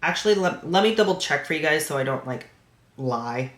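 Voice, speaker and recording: A woman's voice: low-pitched, lively intonation, brisk, fairly bright tone. A congested woman, a bikini competitor and coach, chatting casually to her camera with lots of filler words. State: stuffy, congested nose. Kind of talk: casual vlog recap to camera. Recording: echoey room.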